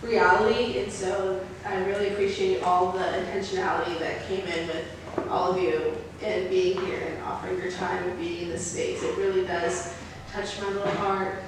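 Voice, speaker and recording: A woman's voice: lowish voice, little pitch movement, normal speed. A woman moderating a group discussion in an informal, effusive way. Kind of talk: casual hosting talk. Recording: distant, echoey room with clear noise.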